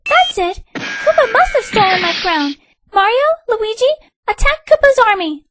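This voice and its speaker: Super high squeaky princess, bossy fretful drama queen, cartoon dub, pitch all over. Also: big pitch swings, moderate pace, background noise. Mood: commanding. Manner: emphatic, indignant. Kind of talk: comic book fan dub.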